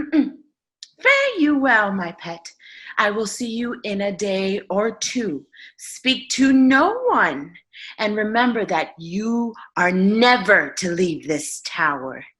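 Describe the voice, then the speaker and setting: A woman playing a controlling, falsely doting witch mother in an irreverent radio play, with theatrical drawn-out commands. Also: medium pitch, big pitch swings, fairly bright tone. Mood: menacing, commanding.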